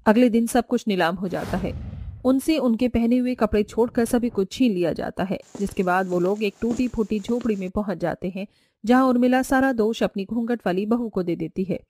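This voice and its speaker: A woman's voice: mid-range voice, normal speed, a little mellow, slight background noise. A woman narrating a scripted story as its narrator.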